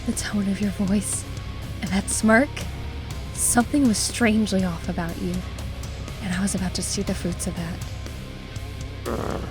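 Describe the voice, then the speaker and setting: Woman acting an earnest young storyteller, medium-pitched. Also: a little slow, long pauses, natural voice. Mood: uneasy. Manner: confessional, addressing one listener. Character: earnest, troubled young female witness narrating memories. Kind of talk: scripted visual novel narration. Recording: music behind the voice.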